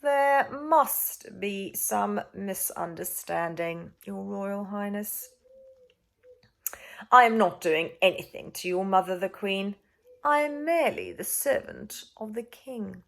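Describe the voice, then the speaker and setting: A woman voicing a coldly polite, unruffled male villain, speaking softly and slowly as in a children's storybook. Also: mid-range voice, gravelly. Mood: coolly polite. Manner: soft, slow, purring, condescending. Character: smooth, unruffled, sinister male royal adviser, coldly polite.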